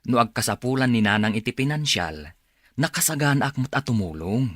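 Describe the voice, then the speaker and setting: Actor as defensive, animated Ilocano younger brother. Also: male voice, medium pitch, moderate pace, no room sound, clean studio sound. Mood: apologetic, evasive. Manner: defensive, excuse-making. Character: working younger brother dodging family duty, defensive. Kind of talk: Ilocano radio drama acting.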